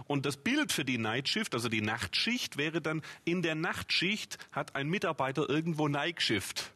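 Husky-voiced man explaining eagerly. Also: a little high, lively intonation, normal speed, bright, forceful tone. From Swabia, Germany. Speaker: memory trainer and author, guest. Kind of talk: animated explanatory interview talk. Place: TV studio at a table.

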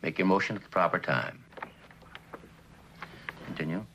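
An older judge in a 1960s television courtroom drama, heard over a noisy old soundtrack. His voice is fairly low. He rules formally, with firm authority. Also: steady tone, moderate pace, pushed and bright. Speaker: actor as judge.

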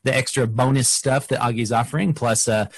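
A man's voice: medium pitch, steady tone, fairly quick, breathy edge, dry recording. A man hosting a casual podcast interview, encouraging his guest in a rambling way.

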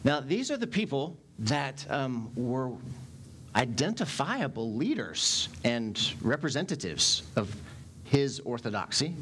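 A man in his forties, a pastor preaching a sermon in a church sanctuary on a headset mic, animated and gesturing as he speaks. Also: mid-range voice, slight background noise.

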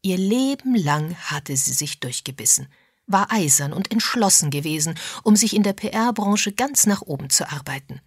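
A woman's voice: on the low side, lively intonation, moderate pace, husky, no room sound, clean studio sound. A woman reading a mystery novel as a scripted audiobook narration.

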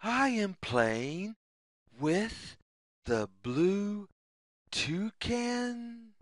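A woman reading a picture book aloud for young children in a deliberate, sing-song way, in short separated phrases. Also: mid-range voice, sing-song, dry recording, clean studio sound. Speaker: children's story reader.

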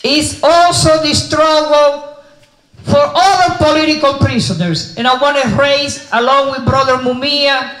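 An older woman from the Republic, giving a slow, emphatic rally speech into a handheld mic on an outdoor stage. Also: high voice, fairly bright tone. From Dominican Republic. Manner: slow, emphatic, repeating phrases for force. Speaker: rally speaker. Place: outdoor stage in front of a mural. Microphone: handheld microphone. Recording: echoey outdoor PA sound.

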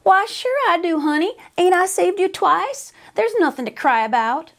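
A woman's voice: very high-pitched, big pitch swings, moderate pace, voice pushed up, full character voice. A woman voicing a boastful, well-meaning but dim Wild West sheriff in a storybook, with a drawling, folksy American cowboy dialect. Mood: consoling.

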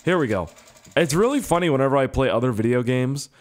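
A young man with a hoarse, strained voice giving casual, profane, self-mocking commentary while playing a game. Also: medium pitch, lively intonation, fast. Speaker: gaming YouTuber. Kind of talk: casual let's-play commentary.

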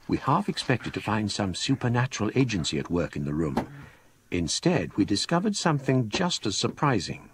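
A man acting a grave scholar who narrates a ghost story for radio drama in measured, literary phrases. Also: fairly low pitch, slight background noise. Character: grave English scholar recounting a ghostly incident.

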